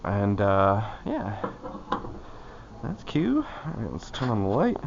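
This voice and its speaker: A man's voice: fairly low pitch, huge pitch swings, slow, creaky, muffled recording, very noisy. A man casually talking himself through a hands-on task as a vlogger, in short, loose, muttered phrases. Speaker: vlogger doing his own car maintenance.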